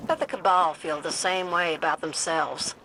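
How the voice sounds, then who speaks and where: A woman's voice: on the low side, steady tone, moderate pace, distant mic, background noise, close to an everyday voice. A woman voicing a plain-spoken pilot in a video game, with a folksy, matter-of-fact Southern US accent.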